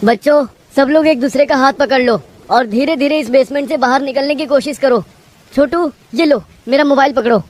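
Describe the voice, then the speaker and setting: A woman's voice: on the low side, a little fast, darkish tone, natural voice. A woman voicing a kind, earnest male village schoolteacher with a caring manner, in a cartoon moral story. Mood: urgent worry.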